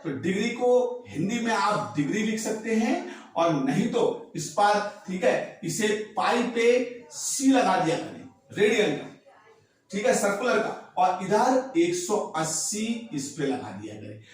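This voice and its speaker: A man's voice: sits high, big pitch swings, measured pace. A man teaching a maths lesson, explaining emphatically with repetition and rhetorical questions. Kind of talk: spoken classroom lesson. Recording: very echoey room, distant mic.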